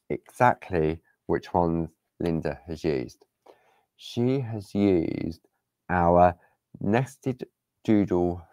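A man with a low, gravelly, hoarse voice talks in an easy, rambling way while he demonstrates a craft live. His delivery is unhurried, his pitch swings widely, and his tone is warm and mellow. The sound is close and dry. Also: no echo. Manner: relaxed, chatty, rambling. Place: craft studio table. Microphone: overhead camera on hands, speaker unseen.

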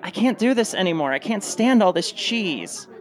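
Woman playing a wry, animated, fed-up cynic. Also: mid-range voice, moderate pace, a faint crowd, close to an everyday voice. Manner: dry, sardonic. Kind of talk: satirical radio play acting.

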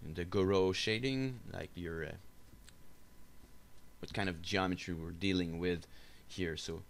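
Low, creaky-voiced man teaching software. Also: a little slow. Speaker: tutorial instructor. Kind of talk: unscripted software screencast tutorial. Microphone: voice-over for a screen recording.

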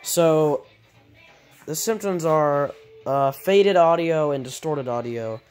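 A man talking casually off the cuff like a hobbyist repairer showing his work, halting and correcting himself as he thinks aloud. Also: fairly high pitch, darkish tone, smooth, soft background music. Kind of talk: casual off-the-cuff workshop vlog. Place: indoor workshop at a cluttered bench.

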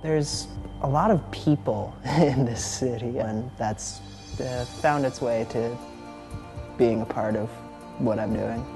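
A man's voice: mid-range voice, slow, creaky. A man from Alaska, an art student talking in a laid-back, upbeat way in an interview testimonial. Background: music.

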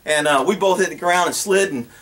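A man's voice: high-pitched, fairly quick, a little hiss or hum. A middle-aged man giving blunt, folksy, off-the-cuff instruction to camera as a horse trainer. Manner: animated, blunt, folksy, acts out imagined dialogue. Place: outdoors on open rural land.

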